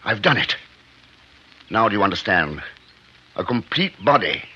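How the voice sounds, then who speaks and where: A man playing a troubled surgeon in a 1950s radio drama, speaking in a halting, grave and uneasy way. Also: lowish voice, sing-song, pushed and bright, breathy edge, highs cut off, full character voice. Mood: anxious, urgent. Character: troubled surgeon confessing a secret experiment to a friend. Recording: noisy band-limited 1950s radio recording.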